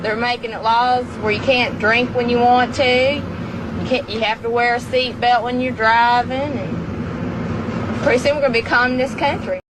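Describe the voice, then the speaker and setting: A woman's voice: a little high, fairly even intonation, unhurried. Indignant woman on a noisy street. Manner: indignant complaining. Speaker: interviewee. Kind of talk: archive news clip. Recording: noisy outdoor news clip.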